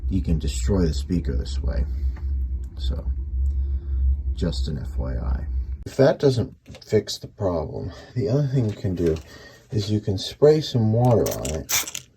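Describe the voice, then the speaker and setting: Really deep voiced guy casually walking through a repair, plain spoken, bit of background noise. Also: very animated, unhurried. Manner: plain-spoken, matter-of-fact, explaining step by step. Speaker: antique radio repair hobbyist showing a repair. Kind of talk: casual unscripted how-to commentary.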